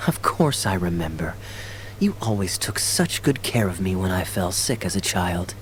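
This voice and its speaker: A man voicing a suave young captain in a video game, affectionate and courteous, with a gently theatrical manner. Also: deep voice, animated, normal speed, slight background noise, low-quality recording. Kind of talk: video game character acting. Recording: background music under the voice.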